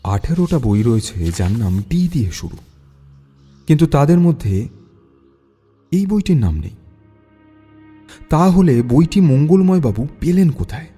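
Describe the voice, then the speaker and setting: Guy playing young detective in audio story, mellow mid voice, thoughtful n polite, soft music under him. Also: a little high, sing-song, unhurried, long pauses, slightly rough, exaggerated voice. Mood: pensive. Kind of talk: audio drama acting.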